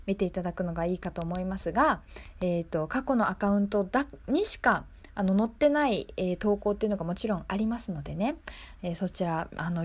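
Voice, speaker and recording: A woman's voice: medium pitch, darkish tone. A woman hosting a local radio show in a casual, chatty, conversational way.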